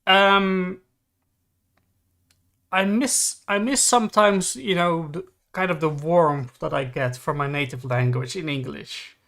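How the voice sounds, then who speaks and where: Slightly high-voiced Dutch-speaking man. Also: slow, fairly bright tone. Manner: thoughtful, searching for words. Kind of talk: casual opinion chat.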